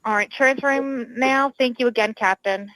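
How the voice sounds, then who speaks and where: A woman with a fairly high voice works as a dispatcher on a recorded call. She talks in a casual, businesslike way, with a dry sound. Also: normal speed, a bit bright, no echo, poor audio. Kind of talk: casual professional phone dispatch.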